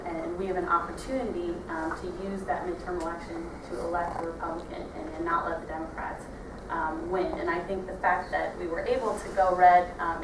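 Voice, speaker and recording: A young woman with a Wisconsin accent giving a training talk, halting with many fillers and restarts. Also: lowish voice, background noise. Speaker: party trainer. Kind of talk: training presentation. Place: meeting room. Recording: echoey room, mic far off.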